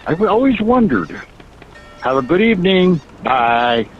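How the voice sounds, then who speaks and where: A man putting on a squeaky, prim caller. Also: sing-song, unhurried, lo-fi sound, loud music behind the voice. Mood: polite. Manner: prim, polite. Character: polite, squeaky-voiced male phone caller.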